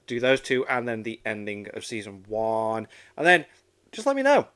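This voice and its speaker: A man's voice: on the low side, huge pitch swings, moderate pace, a bit bright, smooth. A man hosting a reaction video in casual chat, enthusiastic and rambling, often repeating himself.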